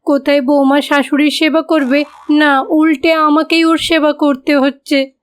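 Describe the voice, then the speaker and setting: A woman plays a greedy, scheming cartoon mother-in-law of middle age. She is domineering and argumentative, in a high-pitched voice. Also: little pitch movement, measured pace.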